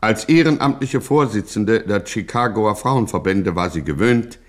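A man's voice: lowish voice, moderate pace. A man narrating a scripted radio satire in a dramatic, solemnly pompous, mock-heroic manner.

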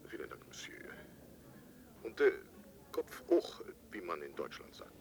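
An actor playing a polite police inspector in a German radio drama, formal and hesitant. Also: male voice, deep voice, big pitch swings, unhurried, gravelly, darkish tone, whispered, heavily acted. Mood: sympathetic, halting. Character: polite, halting French police inspector on the phone.

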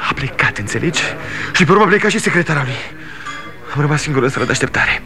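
A pleading grown son. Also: male voice, a little high, lively intonation, music in the background. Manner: pleading, urgent. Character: grown son, young man, ashamed and pleading. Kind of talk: 1960s radio drama acting.

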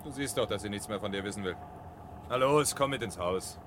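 An actor playing a domineering, sardonic fire captain in a radio drama, with a commanding, mocking manner. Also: male voice, lowish voice, a little fast, reverberant, noisy background, lightly acted. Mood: scornful, angry. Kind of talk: 1970 German radio drama acting.

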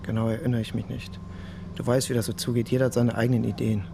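An actor playing a shaken, grieving police detective who speaks haltingly and defensively in a radio crime drama. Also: male voice, medium pitch, flat delivery, vocal fry, background noise, close to an everyday voice. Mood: subdued, wistful. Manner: hesitant, halting, repeating himself.

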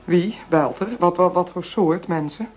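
An actress playing a police chief's middle-aged wife in a radio play, nervous and cynical, speaking in flustered, halting bursts. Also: female voice, lowish voice, darkish tone. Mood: sardonic, anxious. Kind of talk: Dutch radio play acting. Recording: dull lo-fi radio play recording.

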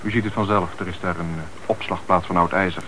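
A man's voice: low voice, fairly even intonation, quick pace, bright, forceful tone. An actor playing an obliging uniformed policeman who reports dutifully to his superior in a radio play. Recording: noisy old radio drama recording.

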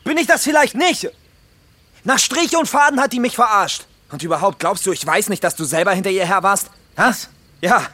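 A macho young jilted groom in a radio play, with a high, rough-edged voice. He is bitter, crude and mocking, his pitch swinging widely. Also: animated, normal speed, bright, forceful tone, no echo, exaggerated voice. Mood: scornful rage. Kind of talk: German radio drama acting.

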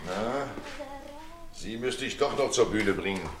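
An actor playing a jovial middle-aged ex-actor innkeeper in a German radio play, declamatory, pompous and playful. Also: male voice, lowish voice, lively intonation, unhurried, creaky, a little hiss or hum.

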